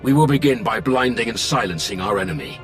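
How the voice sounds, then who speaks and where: Game villain: man playing a menacing Sith lord, low-pitched, deliberate, coldly commanding, over loud score. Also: animated, over music, natural voice. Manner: imperious, deliberate. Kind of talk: video game cutscene acting.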